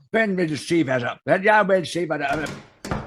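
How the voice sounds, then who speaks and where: An actor playing an aggrieved old widowed farmer with a German accent and a husky, halting delivery in a Western radio drama. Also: male voice, on the high side, lively intonation, slight background noise. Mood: bitter, gloating.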